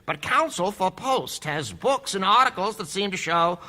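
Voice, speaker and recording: An actor playing a skeptical judge who questions a witness in a courtroom radio drama. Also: male voice, sits high, expressive, pushed and bright, full character voice. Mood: probing. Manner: measured, questioning. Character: probing, skeptical male judge.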